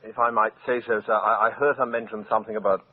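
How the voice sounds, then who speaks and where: An actor playing a timid, deferential household servant, hesitant and formal, in 1940s radio style. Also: male voice, lowish voice, little pitch movement, quick pace, close to an everyday voice. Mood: timid deference. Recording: muffled, hissy vintage radio recording.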